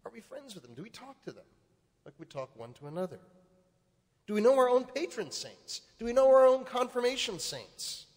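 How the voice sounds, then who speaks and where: Priest preaching, lively high voice. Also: male voice. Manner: preaching with rising emphasis. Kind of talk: sermon. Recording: reverberant church hall.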